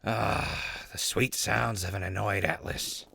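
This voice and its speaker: A man playing a wisecracking, irritating wasteland traveler in an audio drama, with a gravelly voice and sardonic drawl. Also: fairly low pitch, steady tone, measured pace, bright, forceful tone, slight background noise, exaggerated voice. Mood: teasing. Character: wisecracking, irritating male wasteland traveler who savours simple things. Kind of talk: post-apocalyptic audio drama acting.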